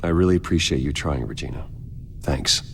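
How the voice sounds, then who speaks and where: An actor playing a guarded wealthy heir in a video game, terse, controlled and dryly sarcastic. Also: male voice, a bass voice, a little fast, creaky, a little hiss or hum, exaggerated voice. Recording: loud music behind the voice.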